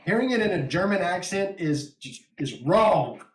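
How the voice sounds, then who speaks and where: A man's voice: sits high, very animated, normal speed, reverberant. A man from West Texas with a West Texas accent, hosting a casual wrestling podcast, laughing often and reading viewer comments aloud. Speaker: podcast host.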